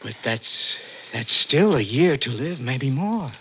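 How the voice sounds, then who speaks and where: A man's voice: mid-range voice, big pitch swings, pushed and bright, slightly rough, full character voice. A man playing a desperate young imprisoned poet in earnest, dramatic 1960s radio suspense style. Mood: urgent protest. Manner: intense, earnest, dramatic, halting when startled. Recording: dull old radio recording, prominent music.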